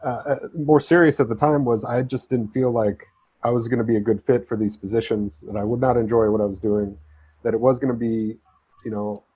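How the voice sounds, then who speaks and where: A man's voice: medium pitch, fairly even intonation, brisk, mellow, slightly rough, dry recording. A man who grew up in southern Spain talks conversationally as an interview guest, telling his own story in an easygoing, explanatory way. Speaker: interview guest, freelance writer and travel correspondent.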